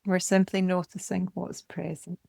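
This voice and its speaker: A woman guides a body scan meditation in a lowish voice with a noticeable creak. She is calm and gentle, placing short, measured phrases one at a time. The sound is close and dry, with no room around it. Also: dry recording. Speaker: meditation teacher.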